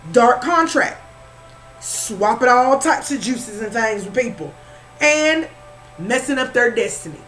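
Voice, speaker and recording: High-voiced Southern woman reading tarot. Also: animated, measured pace, fairly bright tone, faint music behind, poor audio. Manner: informal, repeats words, calls listener honey. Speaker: spiritual reader.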